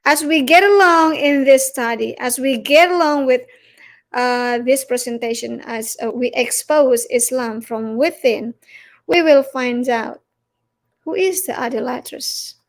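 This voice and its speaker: High-voiced Indonesian woman lecturing slowly. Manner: emphatic, challenging, halting delivery. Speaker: presenter of a religious teaching series. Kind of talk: lecture with rhetorical questions.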